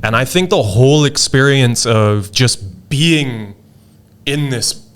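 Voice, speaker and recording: A middle-aged man from Indonesia, a rapper telling stories in a relaxed podcast chat, with lots of fillers and laughs. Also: mid-range voice, slow. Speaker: guest rapper. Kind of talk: casual podcast interview.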